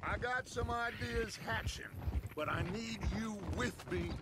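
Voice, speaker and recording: An actor playing a charismatic middle-aged American outlaw gang leader, speaking in a persuasive, theatrical, grandiloquent way in a western game. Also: male voice, very high-pitched, unhurried, very noisy. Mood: hopeful, commanding. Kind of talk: dramatic western game cutscene acting.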